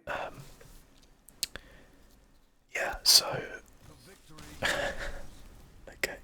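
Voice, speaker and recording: A person whispering ASMR gameplay commentary in a breathy, unvoiced voice, musing and thinking aloud without hurry. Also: very slow, pushed and bright. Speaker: host of a game play channel.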